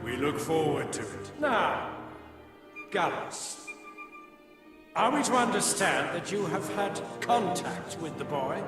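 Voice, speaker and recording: A haughty councillor in a villainous fantasy game court. His fairly high voice moves slowly and disdainfully with wide swings, ringing through a distant hall over music. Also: lively intonation. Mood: amused, imperious. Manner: formal, disdainful.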